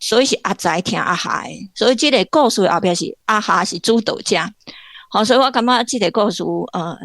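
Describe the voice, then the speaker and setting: A woman's voice: mid-range voice, animated, brisk, fairly bright tone, no echo, studio quality. A woman with a Taiwanese accent giving informal literary commentary as a guest professor, explaining point by point.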